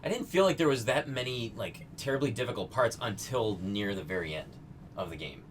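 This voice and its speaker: Low-voiced man with animated pitch. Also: a little fast, a little hiss or hum. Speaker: player and co-host of a gaming show. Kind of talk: casual gaming chat. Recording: slightly distant mic, game music behind. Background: video game music.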